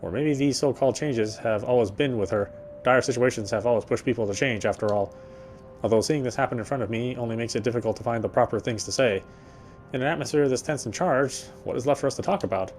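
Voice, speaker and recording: A man's voice: mid-range voice, quick pace, a little mellow, soft background music, close to an everyday voice. An actor playing a weary male city detective, narrating in the first person with a wry inner voice.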